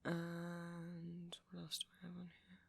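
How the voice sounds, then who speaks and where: A man's voice: sits high, monotone, unhurried, gravelly, a bit bright, breathy edge, exaggerated voice. A man playing a nerdy, kindly vampire who rambles hesitantly and reassuringly in an ASMR roleplay. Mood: absorbed, rambling. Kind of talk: ASMR roleplay, soft conversational monologue.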